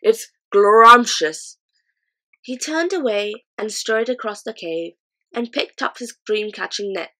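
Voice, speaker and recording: A woman's voice: medium pitch, animated, a little slow, low-quality recording. Woman reading a storybook aloud. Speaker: audiobook reader. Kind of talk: storybook narration.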